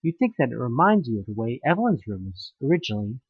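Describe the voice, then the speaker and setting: Expressive, husky man narrating a story. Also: medium pitch, fast talker, no room sound, phone-quality sound. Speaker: audio play narrator. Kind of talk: dramatic second-person scripted narration.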